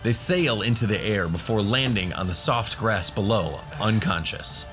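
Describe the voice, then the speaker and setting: Lowish-voiced male fantasy narrator. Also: expressive, normal speed, fairly bright tone. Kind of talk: scripted fantasy audio drama narration. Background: music.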